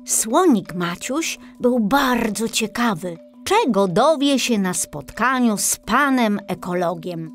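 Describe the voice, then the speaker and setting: A woman narrating a children's story in an expressive storybook style. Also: on the high side, takes it slowly, soft background music.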